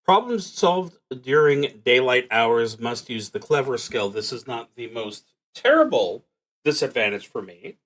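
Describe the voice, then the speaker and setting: A man hosting a solo roleplaying stream, musing to himself in a halting, deliberate way as he plays. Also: medium pitch, sing-song, fairly bright tone, low-quality recording. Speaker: host of a solo roleplaying livestream. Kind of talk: thinking aloud while playing a solo tabletop game.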